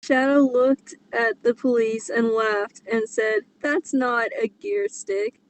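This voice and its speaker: A woman's voice: fairly high pitch, slow, low-quality recording. A woman casually reading a story aloud and commenting on it as a co-host.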